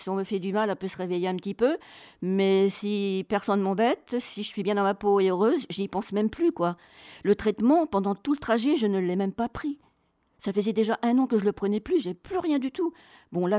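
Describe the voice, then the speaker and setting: A woman telling travel stories as an interview guest, chatty, colloquial and expressive, acting out bits of dialogue. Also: mid-range voice, no echo, clean studio sound. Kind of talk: animated travel storytelling.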